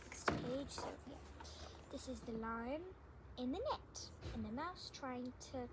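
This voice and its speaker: A woman's voice: sits high, lively intonation, takes it slowly, heavy background noise. A woman reading a story aloud for children as the host of a storytime. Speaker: storybook reader, channel host.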